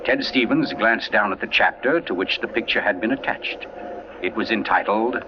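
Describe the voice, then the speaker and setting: An actor playing an urbane crime writer who tells a mystery with dramatic pauses, in 1940s radio style. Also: male voice, lowish voice, noisy background, lightly acted. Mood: dramatic, suspenseful. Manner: suave, dramatic, savoring pauses. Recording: muffled vintage radio broadcast with music.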